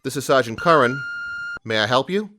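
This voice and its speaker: A man's voice: medium pitch, expressive, a little fast, bright, forceful tone. A man as a police sergeant on noisy phone. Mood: calm, puzzled. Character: calm, patient male police sergeant on the phone. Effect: telephone filter. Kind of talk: audio drama acting. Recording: noisy telephone line.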